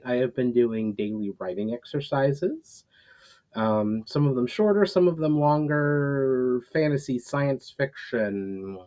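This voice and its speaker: A man who is an author reading his own fantasy writing aloud in a storytelling style, a little halting in delivery. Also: mid-range voice, a little mellow. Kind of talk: casual intro, then dramatic storytelling read aloud.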